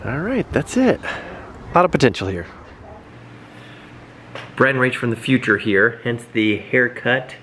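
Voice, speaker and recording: A man in his thirties giving a quick, upbeat house tour and chatting at home. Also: medium pitch, very animated, a bit bright, slight background noise. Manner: quick, upbeat, rambling with restarts. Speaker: vlog co-host. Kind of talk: casual house tour and chat. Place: living room at home, indoors.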